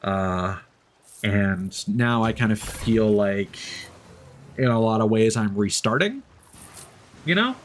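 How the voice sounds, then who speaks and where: A man with a fairly low voice talks casually on a game livestream. He is candid and reflective, rambling through his thoughts with halting starts and self-corrections. Faint background noise sits under his voice. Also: animated. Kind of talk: casual streamer chat while gaming.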